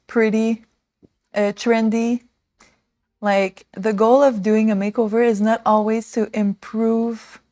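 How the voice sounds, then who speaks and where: A woman in her thirties hosting a casual makeover livestream, rambling and excitable, with lots of "like" and "um". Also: a little high, dry recording, clean studio sound. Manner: rambling, excitable, many fillers like "like" and "um". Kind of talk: casual chatty livestream talk. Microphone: small desk mic.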